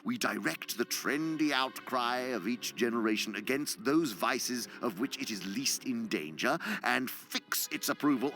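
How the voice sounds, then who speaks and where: A pompous, disdainful demon mentor in a radio drama. The voice is gravelly and bright, with sharp diction. He lectures eloquently and slyly over faint music. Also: fairly low pitch, exaggerated voice. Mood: gloating lecture. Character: senior demon, cunning pompous scheming uncle and mentor. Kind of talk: audio drama acting. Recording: music underneath.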